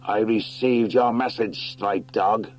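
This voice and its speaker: A man's voice: on the low side, fairly even intonation, takes it slowly, pitched above the performer's own voice. Man acting a grandiose, scheming wildcat warlord. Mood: proud challenge. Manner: grandiose, smug. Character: gloating, scheming male wildcat warlord, a conqueror.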